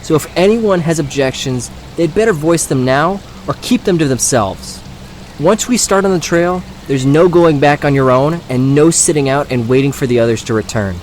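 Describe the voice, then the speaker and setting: A man's voice: fairly high pitch, normal speed, a little mellow, a little hiss or hum, natural voice. A man playing a confident young trail guide in an audio drama, with a firm, instructive manner. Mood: firm, warning. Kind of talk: scripted audio drama acting. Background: faint outdoor ambience and stream effects.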